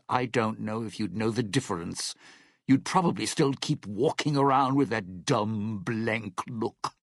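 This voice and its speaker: Sly cynical showman, video game character, animated pitch swings, unhurried, wry menace, dry close sound. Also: no room sound, exaggerated voice. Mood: sardonic threat. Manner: wry, sardonic, smooth-talking menace. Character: cynical, threatening male lounge entertainer, sly post-apocalyptic survivor.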